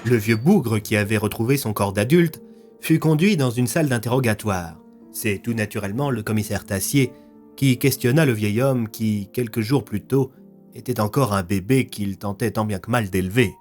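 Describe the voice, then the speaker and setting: A man's voice: on the low side, normal speed, a little mellow, faint music behind. A man narrating a scripted audio story as its storyteller.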